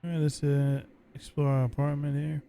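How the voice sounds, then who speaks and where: A man's voice: fairly high pitch, monotone, moderate pace, creaky, warm tone, a little hiss or hum. A man giving casual, laid-back gameplay commentary, musing to himself as he plays. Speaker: let's play gamer commentating.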